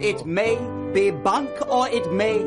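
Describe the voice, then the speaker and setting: A woman's voice: medium pitch, animated, takes it slowly. A woman playing a music-hall singer, performing a comic song in a radio drama. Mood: playful.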